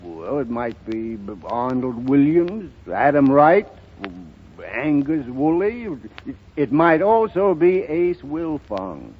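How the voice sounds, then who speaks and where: Theatrical lawyer, sweeping pitch. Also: male voice, sing-song, dull audio. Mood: persuasive, courtly. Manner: oratorical, dramatic pauses. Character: theatrical hard-drinking defense lawyer, shrewd and folksy. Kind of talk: 1930s radio drama acting. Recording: thin band-limited 1930s radio recording.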